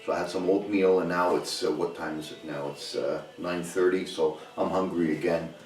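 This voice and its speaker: Deep voiced guy doing a casual outdoors vlog, kinda rambly and folksy, echoey and off the mic. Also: steady tone, moderate pace, a little mellow, soft background music. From Canada. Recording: roomy, distant mic, faint noise.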